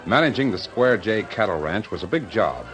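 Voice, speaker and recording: A male narrator of a vintage radio Western reads scripted story lines. His voice is fairly low, with music clearly playing behind it. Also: animated, normal speed, fairly bright tone, low-quality recording.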